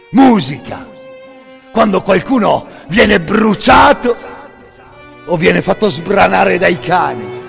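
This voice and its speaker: A man with a gravelly voice performing an intense, incantatory scripted monologue in the second person, repeating phrases between long pauses. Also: high voice. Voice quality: gravelly, creaky. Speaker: actor and storyteller of a solo radio theatre monologue. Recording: music bed under the voice. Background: music.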